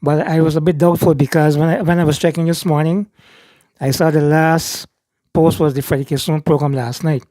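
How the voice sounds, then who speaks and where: A man with a Guyanese accent giving casual news commentary, reading statements aloud with frequent pauses and fillers. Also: on the high side, little pitch movement, dark tone, no room sound. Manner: reads statements aloud, many ums.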